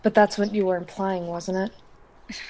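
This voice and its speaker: A woman's voice: mid-range voice, little pitch movement, normal speed, darkish tone, lightly acted. A woman acting an insecure, romantically unlucky teenage schoolgirl in an amateur audio drama, self-pitying and hesitant. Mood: sulky, defensive.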